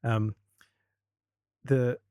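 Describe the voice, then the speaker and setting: A man's voice: mid-range voice, little pitch movement, drawn-out words, dark tone, smooth, no echo, clean studio sound. An Australian man, a university lecturer, talking as a guest in a casual podcast interview, hesitant and full of restarts. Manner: hesitant, many restarts and fillers.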